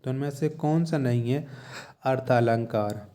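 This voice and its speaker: A man's voice: mid-range voice, a little slow, warm tone. A man teaching an exam-prep quiz lesson in an explanatory, repetitive way, posing short check questions and repeating answers.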